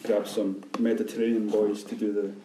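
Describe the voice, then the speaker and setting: Male band guitarist, low dark voice, set back from the mic in an echoey room. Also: flat delivery, normal speed, recorded from a distance, noisy background. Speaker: guitarist and singer. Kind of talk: casual interview chat.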